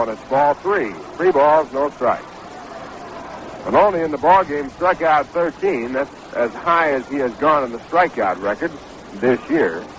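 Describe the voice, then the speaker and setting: An American man calling baseball play-by-play on the radio in a measured, descriptive way. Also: mid-range voice, fairly bright tone. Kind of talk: live radio sports commentary. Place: ballpark broadcast booth. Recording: noisy 1960s AM radio broadcast.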